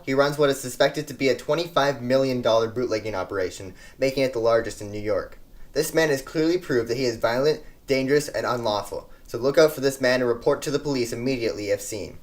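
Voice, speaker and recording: An earnest news anchor of the 1920s, played by a man, delivers a scripted report in a formal announcer's manner. His voice sits at a medium pitch. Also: close to an everyday voice.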